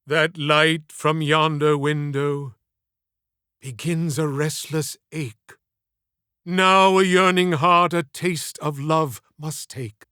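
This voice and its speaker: A man voicing an aging, tender, theatrical stage actor in an audiobook, declaiming with slow, dramatic swells and hushes. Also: a little high, clear, resonant tone, no room sound, studio quality. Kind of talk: audiobook character acting.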